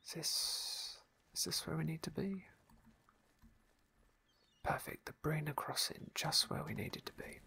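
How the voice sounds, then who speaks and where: A man's voice: on the high side, a little slow, creaky, pauses often, husky. A man whispering wry commentary as he plays a fantasy game for ASMR. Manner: soft, wry, playful asides.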